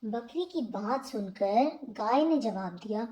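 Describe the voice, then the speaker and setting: A woman narrating scripted moral stories for children in a deliberate storybook manner. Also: fairly high pitch, expressive, warm tone.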